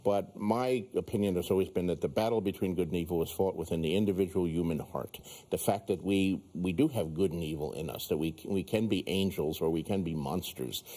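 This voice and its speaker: A man speaking in a measured, thoughtful way about big ideas. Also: low-pitched, mellow. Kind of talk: reflective talk.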